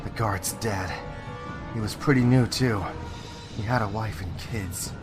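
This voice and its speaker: A man's voice: fairly low pitch, a little slow, music in the background, lightly acted. A man playing a hot-headed, foul-mouthed police officer in a radio play, with an aggressive, barking manner. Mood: grim. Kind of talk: amateur radio play acting.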